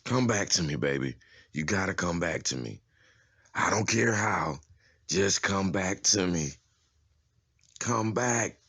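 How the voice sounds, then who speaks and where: A man playing an anguished, furious father with a gravelly, husky voice, raw and pleading in a motion comic drama. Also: low voice, expressive, slow pace, pauses often, a bit bright, no room sound, studio quality, full character voice. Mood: desperate, pleading. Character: anguished, furious father desperate for his daughter.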